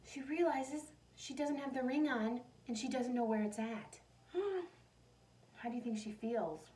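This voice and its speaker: Higher-voiced woman reading aloud to children, slightly distant. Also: a little slow. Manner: animated storytelling, addresses young listeners directly. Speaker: teacher reading a picture book aloud to children. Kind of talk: expressive children's read-aloud with asides and questions to the listeners. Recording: voice distant from the microphone.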